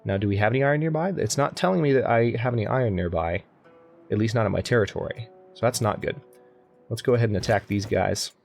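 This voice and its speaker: Young male let's-play host, lowish voice, chatty and self-correcting, soft game music underneath. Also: fast talker, creaky. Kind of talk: casual gameplay commentary. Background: faint game music.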